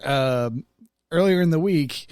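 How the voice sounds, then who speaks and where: A man under fifty chatting casually on a podcast, halting and correcting himself as he tells things. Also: on the high side, darkish tone. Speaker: podcast co-host. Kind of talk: casual anecdotal chat.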